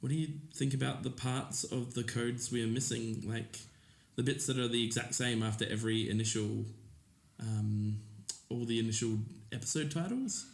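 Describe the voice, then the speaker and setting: A man's voice: medium pitch, moderate pace, vocal fry, echoey room, lightly acted. An actor playing an earnest amateur podcast host, speaking conversationally and a little hesitantly as he thinks aloud. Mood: curious.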